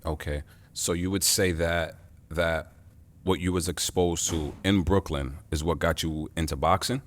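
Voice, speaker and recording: A man's voice: sits low, fairly even intonation, a little slow. A man hosting a casual interview in a boxing gym, hyping the intro and then asking questions in an easygoing way. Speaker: interviewer, show host.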